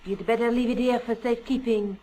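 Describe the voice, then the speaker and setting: A woman's voice: fairly high pitch, fairly even intonation, a little fast, dark tone, slight background noise, natural voice, low-quality recording. An actress playing a self-assured, knowledgeable young woman journalist in a video game, speaking in a matter-of-fact storytelling way.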